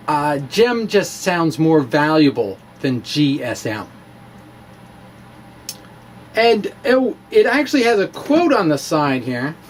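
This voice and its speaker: Animated man with sweeping pitch, noisy recording. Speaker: science vlogger presenting his own blog. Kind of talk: dramatic reading mixed with casual show-and-tell.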